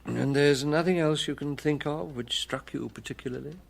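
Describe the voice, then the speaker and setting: An actor playing a shrewd, unflappable police chief inspector, wry and thoughtful, in a radio drama. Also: male voice, on the high side, normal speed, vocal fry. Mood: polite, puzzled.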